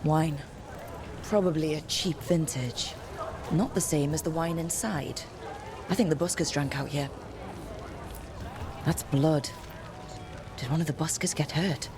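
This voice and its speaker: A woman's voice: sits low, unhurried, pauses often, background noise. A woman voicing a cool, observant detective in a fantasy video game, thinking aloud in a terse, matter-of-fact way. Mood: focused, deducing.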